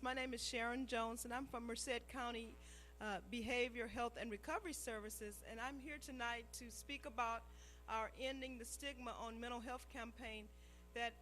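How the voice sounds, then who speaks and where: A woman's voice: on the high side, a bit bright. A woman from a county behavioral health agency speaking earnestly to a town council. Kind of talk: public comment at council meeting.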